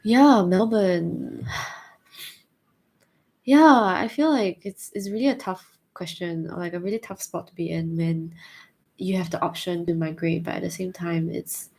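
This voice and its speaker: A woman's voice: fairly low pitch, gravelly, a little mellow, husky. A young woman with a Singaporean accent, thoughtfully answering viewer questions in a casual chat, hesitant with many fillers. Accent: Singaporean English.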